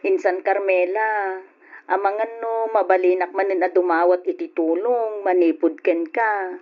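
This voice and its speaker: A determined young wife and mother acted by a woman in an old-fashioned radio drama, with a Filipino accent. She speaks in a pleading, emphatic way at a middling pitch, on a dull lo-fi recording. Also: fairly even intonation, measured pace, faint music behind, natural voice. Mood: pleading, insistent.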